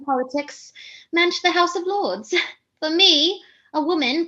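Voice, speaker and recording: A woman's voice: high voice, bright, forceful tone, highs cut off, heavily acted. An actress playing a flighty, self-important young lady in a theatrical comedy, arch and declamatory. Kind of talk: theatrical radio comedy monologue and dialogue.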